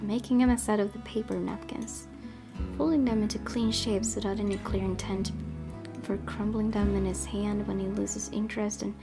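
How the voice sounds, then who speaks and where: A woman's voice: medium pitch, flat delivery, normal speed, gravelly. A woman hosting a let's play, reading a visual novel aloud and adding casual commentary. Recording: game music behind the voice.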